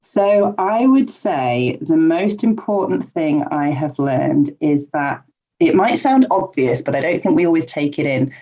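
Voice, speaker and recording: A woman's voice: deep voice, lively intonation, measured pace, warm tone. A woman being interviewed on a podcast as a guest, answering in an earnest, chatty way with ums and light laughs. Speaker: podcast guest, life coach. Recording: dull lo-fi remote audio.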